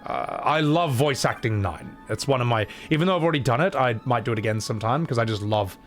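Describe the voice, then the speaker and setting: A man's voice: medium pitch, quick pace. A man streaming a game, chatting casually and joking between voiced scenes. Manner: animated, joking. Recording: faint game music behind.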